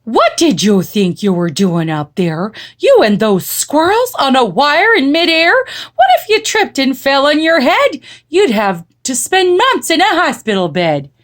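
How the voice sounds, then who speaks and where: Mother cat acted by a woman for a storybook: weary, admonishing, emphatic, with lively swoops. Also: a little high, slow pace, full character voice. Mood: scolding. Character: frazzled, scolding mother cat.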